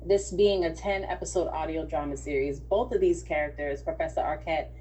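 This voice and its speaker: A woman's voice: deep voice, brisk, dark tone, slight background noise, low-quality recording. A woman acting as casting director, explaining things in an organised way on an online audition call.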